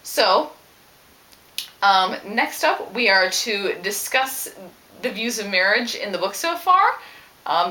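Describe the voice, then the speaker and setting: A woman's voice: medium pitch, bright, forceful tone, poor audio. A woman book vlogger talking casually to her viewers in a chatty, analytical, emphatic way. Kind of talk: casual book discussion to camera.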